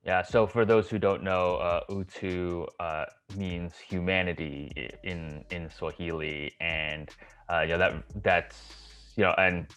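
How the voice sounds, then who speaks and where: A man hosting a casual video call interview, friendly and halting with many fillers. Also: low-pitched, steady tone, a bit bright, clean tone. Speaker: host.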